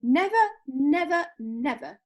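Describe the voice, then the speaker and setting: A woman's voice: high-pitched, slow pace, highs cut off. A woman playing a sweet, bossy young Victorian girl, effusive and coaxing, in an amateur play reading. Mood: pleading, insistent. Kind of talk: amateur play read-through.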